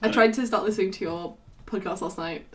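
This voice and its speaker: A woman chatting as a podcast guest, telling stories with lively acted-out dialogue. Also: mid-range voice, brisk, slight background noise. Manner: animated storyteller who acts out quoted dialogue. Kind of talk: casual chat.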